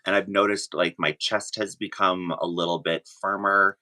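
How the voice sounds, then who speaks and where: Very deep, bright-voiced man. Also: little pitch movement, normal speed. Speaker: podcast guest. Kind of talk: casual conversational testimonial.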